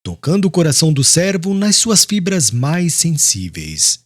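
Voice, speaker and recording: Guy reading a solemn audiobook, highish voice, very melodic, music behind him. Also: huge pitch swings, unhurried. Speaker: audiobook narrator. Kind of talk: scripted literary narration.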